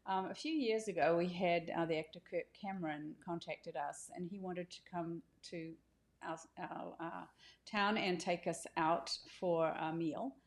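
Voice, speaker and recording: A woman giving an informal welcome talk as an author and co-host, speaking conversationally and haltingly as she corrects herself. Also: lowish voice, fairly even intonation.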